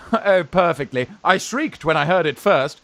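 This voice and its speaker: A man in a radio farce playing a young fellow who poses as a gruff, flustered old aunt, halting and blustering. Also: high voice, big pitch swings, a bit bright, no echo, exaggerated voice. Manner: blustering, halting, repeats words. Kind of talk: stage farce radio acting.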